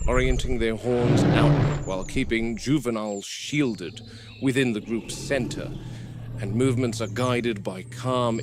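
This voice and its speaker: A man playing a calm, watchful game warden, speaking in a measured, clinical way as in a scripted field log on a noisy recording. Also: medium pitch, close to an everyday voice. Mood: measured, instructive. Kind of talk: scripted found-footage horror narration.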